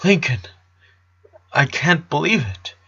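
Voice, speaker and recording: A man playing a composed, devoted father in a story, earnest and gently insistent while holding back grief. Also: on the high side, very animated, takes it slowly, slightly rough, muffled recording, voice pushed up, exaggerated voice. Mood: gentle sorrow.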